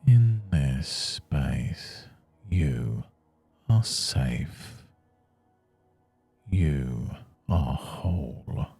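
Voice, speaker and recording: Very deep, dark-voiced man guiding meditation. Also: animated, gravelly, breathy edge, no echo. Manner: soothing, measured, with long pauses. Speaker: meditation guide. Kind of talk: scripted guided meditation. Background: faint music.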